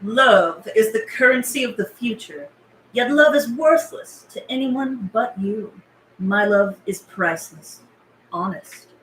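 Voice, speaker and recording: A woman's voice: fairly high pitch, animated, measured pace, a bit bright, slight background noise. Woman as echoey motherly radio preacher Mother Neptune. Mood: earnest, airy. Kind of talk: table read of a film script.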